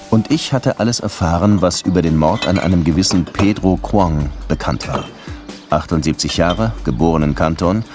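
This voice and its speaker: A man's voice: low voice, darkish tone. A man playing a world-weary police detective from Cuba, narrating his case in a radio drama. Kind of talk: radio play narration and dialogue. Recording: music under the voice.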